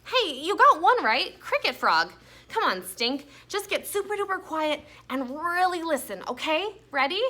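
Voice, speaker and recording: A playful, bossy big sister from a storybook, read aloud by a woman. Her voice is high and bright, with lively swings as she gives instructions and encouragement. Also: measured pace, full character voice.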